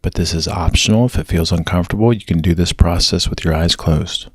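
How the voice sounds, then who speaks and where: A man narrating a guided meditation with measured, gentle instructions. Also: sits low, slightly rough, no room sound, clean studio sound.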